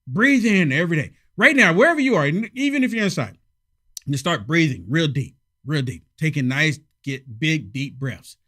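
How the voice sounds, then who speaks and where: A man hosting casual political commentary, sarcastic and emphatic, a little hoarse from a head cold. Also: a little high, sing-song, moderate pace, a bit bright.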